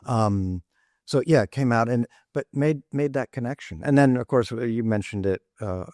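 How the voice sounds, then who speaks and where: A man's voice: medium pitch, darkish tone, no echo, clean studio sound. A man in a casual podcast discussion, talking thoughtfully with frequent restarts and fillers. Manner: thoughtful, halting, many restarts. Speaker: podcast panelist. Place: studio in Rome.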